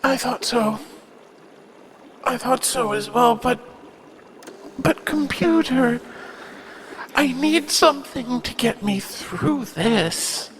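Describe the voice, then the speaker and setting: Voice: medium pitch, takes it slowly, creaky, pauses often, fairly bright tone, slight background noise, rough recording. An actor playing a self-important, whiny ruler in an audio drama, speaking in a plaintive, melodramatic and hesitant way. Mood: self-pitying, insistent.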